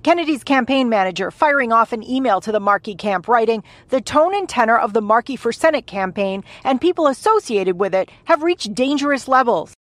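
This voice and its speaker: A woman reading a broadcast news report in a measured reporting voice. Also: on the high side, expressive, dry recording, studio quality.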